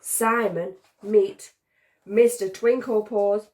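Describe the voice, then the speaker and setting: A woman's voice: medium pitch, steady tone, takes it slowly, a little mellow, slight background noise, lightly acted. Woman reading as an upbeat, helpful storybook pal. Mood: hopeful, encouraging. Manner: encouraging, chirpy. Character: helpful upbeat male teddy friend of a sock. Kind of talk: children's picture book read aloud.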